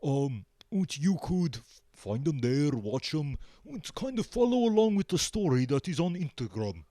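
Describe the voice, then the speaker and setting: Deadpan man, mellow and close. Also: fairly high pitch, moderate pace, no room sound. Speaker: band member, show guest. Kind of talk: comic in-character interview.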